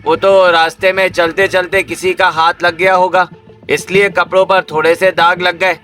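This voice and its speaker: A man's voice: sits high, little pitch movement, normal speed, pushed and bright, clean tone, soft background music. A man playing a defensive, scolding young village husband in a cartoon story. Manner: scolding, bossy, dismissive.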